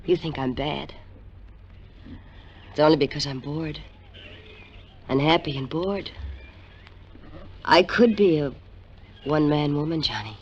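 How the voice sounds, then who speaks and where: An actress playing a bored, scheming American wife, a petulant and seductive femme fatale in a 1950s radio drama. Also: female voice, sits low, a little slow, pauses often, a little mellow, lo-fi sound. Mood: sultry, sulky. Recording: noisy vintage radio recording.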